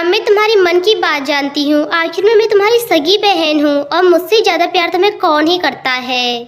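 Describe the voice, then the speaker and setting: Woman voicing a very high-pitched, boastful rich elder sister. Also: fairly bright tone. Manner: patronizing, emphatic.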